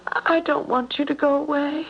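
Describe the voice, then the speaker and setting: An actress playing a flustered, torn young sweetheart who speaks hesitantly in a radio drama. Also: female voice, high-pitched, steady tone, moderate pace, gravelly. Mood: torn, pleading. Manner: hesitant, breaks off and repeats. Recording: muffled 1970s radio broadcast.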